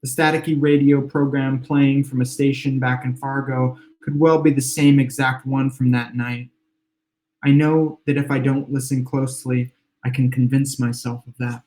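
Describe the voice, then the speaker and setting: A slightly hoarse man, an author, reading his own literary fiction aloud in a measured, reflective first-person voice at home. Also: medium pitch, level tone, darkish tone, poor audio. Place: home room with exposed brick.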